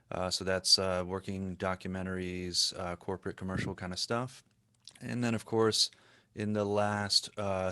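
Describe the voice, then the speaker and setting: A man's voice: sits low, bright, forceful tone, smooth. A man in his forties introducing himself casually and a little hesitantly into a close microphone. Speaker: panelist, production sound worker. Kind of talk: self-introduction, casual talk. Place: indoor sound-treated room, on a video call. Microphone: close desk microphone.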